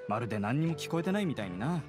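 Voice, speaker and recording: Man acting a lively, helpful game townsman. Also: mid-range voice, racing, natural voice. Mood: helpful, concerned. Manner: casual, concerned. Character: friendly, helpful young townsman, fantasy game character. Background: background music.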